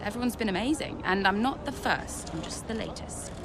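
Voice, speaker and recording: A woman's voice: medium pitch, expressive, brisk, noisy background, lightly acted. An actress playing a guarded, frustrated, determined young female racing driver in a naturalistic game cutscene. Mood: guarded, composed.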